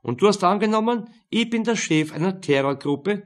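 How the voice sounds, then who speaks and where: A man's voice: sits high, expressive, moderate pace, pitched above the performer's own voice. A man voicing an easygoing, unbothered university student son, friendly and nonchalant.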